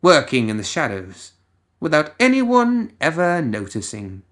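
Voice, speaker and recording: Male story reader, dramatic and deliberate, with animated pitch and slow pacing. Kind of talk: dramatic scripted narration.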